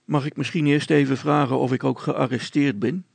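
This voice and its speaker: A man voicing a calm helicopter pilot for a storybook, polite, patient and gently ironic. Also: mid-range voice, moderate pace, vocal fry, breathy edge, no room sound, pitched above the performer's own voice. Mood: politely ironic. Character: calm, courteous, cheeky male helicopter pilot.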